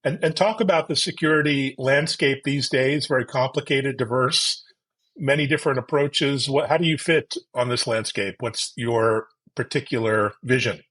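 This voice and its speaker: A man's voice: mid-range voice, normal speed, fairly bright tone. A man hosting a tech interview show, introducing his guest and asking questions in an upbeat, effusive manner. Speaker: podcast host.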